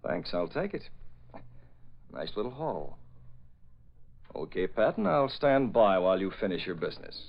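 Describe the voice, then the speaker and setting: Cool sardonic vigilante on muffled old radio. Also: male voice, sits low, very animated, normal speed, pauses often, heavily acted. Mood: brisk, sardonic. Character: cool, sardonic masked vigilante man posing as crook. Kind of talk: 1940s radio acting. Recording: dull old 1940s radio recording.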